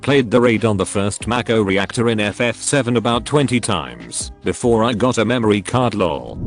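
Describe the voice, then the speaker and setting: Male narrator, lower register, even scripted delivery; light music bed underneath. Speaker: narrator reading forum posts. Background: faint background music.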